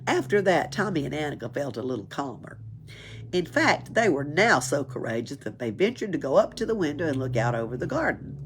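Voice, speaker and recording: A woman with a husky voice reads a children's story aloud in a dramatic, emphatic way. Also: low voice, slight background noise. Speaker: library story reader. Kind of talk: expressive storybook read-aloud.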